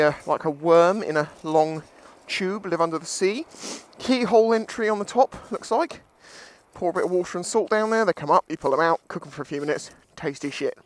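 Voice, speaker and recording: A British man giving casual, jokey, sweary commentary as he vlogs outdoors on a seashore. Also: a little high. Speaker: beachcombing vlogger.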